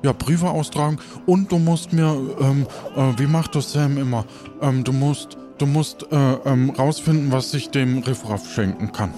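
A man's voice: mid-range voice, unhurried, warm tone, soft background music. Hesitant man narrating an improvised adventure. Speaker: game master of an improvised audio play. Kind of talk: improvised narration and casual role-play.

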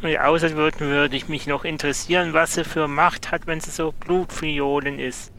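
Bright, creaky-voiced man playing along. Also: a little high, little pitch movement, moderate pace. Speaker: listener taking part as a player. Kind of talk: casual improvised roleplay. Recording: faint ambient, vehicle-like background noise.

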